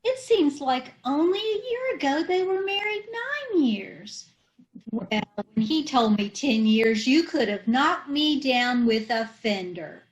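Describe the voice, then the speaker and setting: A woman's voice: sits high, animated, slow pace, dull audio. A woman playing a scatterbrained wife who mangles words, in old-time radio comedy style. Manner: ditzy malapropisms.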